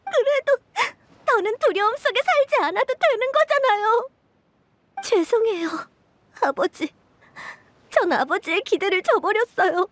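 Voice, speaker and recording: Very high-pitched, earnest child. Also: female voice, lively intonation, measured pace, a bit bright, no echo, exaggerated voice. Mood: tearful, pleading. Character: anxious, earnest children of an orphanage. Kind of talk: emotional video game voice acting.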